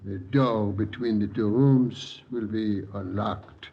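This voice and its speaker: A man's voice: fairly low pitch, steady tone, mellow, breathy edge, lo-fi sound. A man playing a courteous, sombre old French resistance patriot with a French accent in a radio drama. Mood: discreet, conspiratorial. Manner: measured, deferential, slow. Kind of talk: 1940s-50s radio drama acting.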